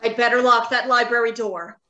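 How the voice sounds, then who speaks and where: A woman playing an earnest, uneasy doctor of robot science in a radio drama, deliberate and emphatic. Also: on the high side, fairly even intonation, highs cut off, background noise, natural voice. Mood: grave. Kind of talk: old-time radio drama acting. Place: home, remote video call.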